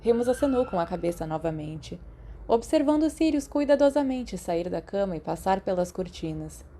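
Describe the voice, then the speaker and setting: A woman with a Brazilian accent reading a story aloud as an audiobook narrator, in an expressive scripted style. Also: mid-range voice, normal speed, a little hiss or hum.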